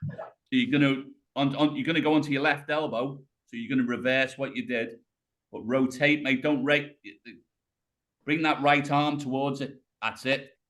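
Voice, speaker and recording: A British man in his fifties coaching a client through floor exercises on a video call, calm and encouraging, step by step. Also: mid-range voice, moderate pace. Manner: encouraging, talks a client through each movement step by step, says mate. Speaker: rehab coach. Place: home room, on the floor. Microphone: video call webcam.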